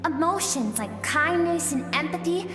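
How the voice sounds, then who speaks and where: An actress playing the gentle, earnest emotional self of a woman warrior in a video game, speaking in a soft, pleading, heartfelt way with reverb. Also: high-pitched, animated, a little slow. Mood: challenging. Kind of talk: scripted video game voice acting. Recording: echoey, long reverb, music behind.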